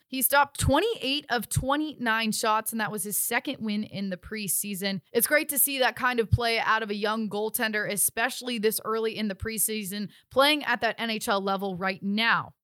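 A woman's voice: a little high, fairly bright tone, dry recording, clean studio sound. A woman hosting a hockey show with an upbeat, enthusiastic delivery, giving recaps and asking interview questions. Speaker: sports show host and interviewer.

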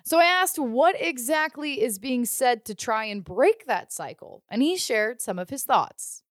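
A woman's voice: on the high side, animated, a little slow, no echo, studio quality. A woman hosting a sports show, delivering a scripted recap of the action.

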